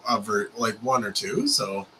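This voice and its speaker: A man hosting a casual video call interview, playful and joking, quick to laugh. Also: on the low side, sharp tone, a little hiss or hum, rough recording. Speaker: host. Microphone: video call mic.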